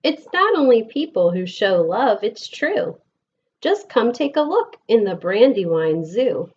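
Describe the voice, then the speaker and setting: American female storybook reader, very unhurried, expressive phrasing, slightly muffled lo-fi recording. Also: on the high side, husky. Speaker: elementary school teacher reading aloud. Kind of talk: picture-book read-aloud for children.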